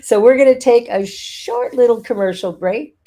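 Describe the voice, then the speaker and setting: A woman's voice: a little high, moderate pace, warm tone. A woman hosting a talk show, encouraging her guest and using lots of fillers like "you know". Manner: encouraging, uses many fillers. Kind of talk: interview hosting.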